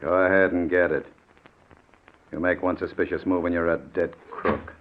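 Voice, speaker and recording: A man's voice: sits low, fairly even intonation, moderate pace, lightly acted. An actor playing a cold gunman bent on vengeance, commanding and threatening, in an old-time radio suspense drama. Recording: dull, noisy old radio recording.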